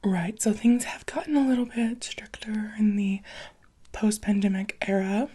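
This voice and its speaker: A woman speaking very softly and breathily, often in a whisper, as a gentle, encouraging interviewer in an ASMR roleplay. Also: medium pitch, moderate pace, husky. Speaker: ASMR roleplayer playing a restaurant job interviewer.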